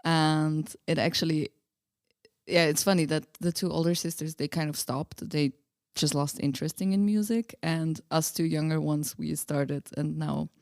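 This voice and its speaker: A woman speaks in a low register with plenty of creak. Her delivery is informal and a bit hesitant, like a musician chatting in a casual interview. The recording is close and dry. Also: steady tone, moderate pace, darkish tone, no echo. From Austria. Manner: laughs easily, hesitant and informal.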